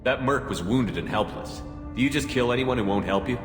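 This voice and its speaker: A man's voice: mid-range voice, fairly quick, lightly acted. A man acting a resolute, principled military commander in a video game, speaking in a firm, direct, questioning way. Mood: challenging. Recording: long reverb, music underneath.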